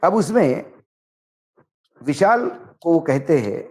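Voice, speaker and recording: A man giving political commentary, speaking emphatically and deliberately in short phrases as he recounts dialogue. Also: a little high, big pitch swings, a little mellow. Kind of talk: political commentary, narrating and translating a reported conversation.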